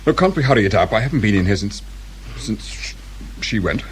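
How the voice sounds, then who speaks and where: A man's voice: lowish voice, expressive, fairly quick, a little hiss or hum, lightly acted. An actor playing a curt, impatient and anxious father in a radio play, with a British accent and a brusque, demanding manner. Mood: terse, grieving. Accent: British English.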